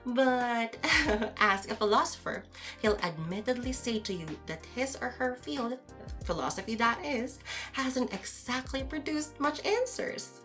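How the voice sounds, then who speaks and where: A woman acting as a calm, know-it-all philosopher in an audio drama podcast, explaining things to a friend with formal, measured wording. Music plays softly behind the lecture. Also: on the high side. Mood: lecturing.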